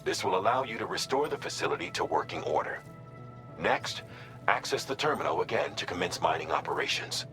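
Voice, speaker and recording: Low, echoing voice of a formal mission coordinator. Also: male voice, lively intonation, sharp tone, soft background music. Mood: measured, businesslike. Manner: formal, measured, precise instructions. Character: calm, methodical male mission coordinator briefing an agent. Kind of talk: scripted game mission briefing.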